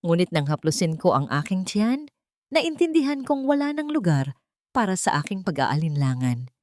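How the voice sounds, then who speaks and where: Woman, Filipino accent, low pitch, first-person story narrator, deliberate phrasing, dry sound. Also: very animated, a little mellow, no echo.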